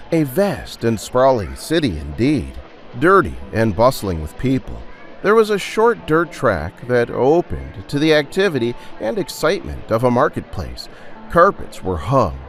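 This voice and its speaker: A man narrating a scripted audio drama with theatrical, suspenseful storytelling. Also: medium pitch.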